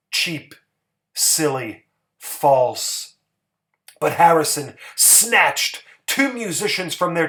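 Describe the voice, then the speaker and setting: A man giving a dramatic, scripted story reading as narrator, measured and emphatic. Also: a little high, a bit bright. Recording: music bed under the voice.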